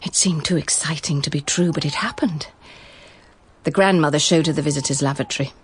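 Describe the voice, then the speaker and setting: A woman's voice: sits low, fairly quick, slight background noise. A woman with a British accent reading literary, scripted narration for a radio drama.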